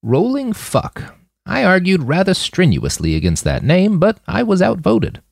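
Audiobook role: elderly matriarch played by a male narrator, wry, matter-of-fact, measured, creaky, close dry sound. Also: high-pitched, huge pitch swings, no echo, pitched above the performer's own voice, full character voice. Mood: wry, frank. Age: older. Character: eldest old woman leader, wry, blunt, matter-of-fact grandmother.

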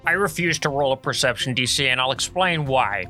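Bright-voiced, joking tabletop player. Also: medium pitch, animated, a little fast, faint music behind. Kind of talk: casual chat, playful role-play narration.

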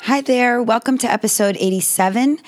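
A woman with a slightly raspy voice hosting a solo family-advice podcast, talking earnestly and directly to her listeners. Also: a little high, a little fast, loud music behind the voice. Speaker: podcast host.

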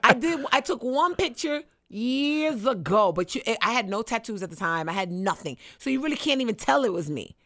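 A woman in her forties co-hosting a casual chat, brash and teasing, laughing loudly. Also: fairly high pitch, lively intonation, normal speed, hardly any pauses, dry recording, clean studio sound. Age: 40s. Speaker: guest co-host.

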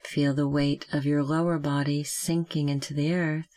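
A meditation guide speaking gently and calmly, giving slow, measured instructions with long pauses. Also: mid-range voice.

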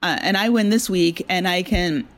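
A woman's voice: lowish voice, moderate pace. Earnest woman explaining in an interview. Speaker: interview guest, audio drama writer. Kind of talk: conversational interview.

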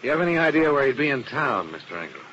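A man's voice: mid-range voice, very animated, fast, a bit bright. An actor playing a hard-boiled male insurance investigator who narrates the case in a clipped, matter-of-fact way, in a 1950s radio drama. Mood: probing. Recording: muffled old radio recording with hiss.

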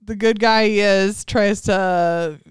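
A chatty young woman recapping a show for her podcast, her voice crisp and very clear. She giggles and fills gaps with ums, and the recording is dry and close. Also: mid-range voice, steady tone, takes it slowly, no room sound. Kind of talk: casual chat.

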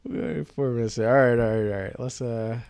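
A man's voice: medium pitch, quick pace, creaky, a little mellow, clean tone. A man hosting a casual podcast, slangy and laid-back, sounding nasal from a cold. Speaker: podcast host.

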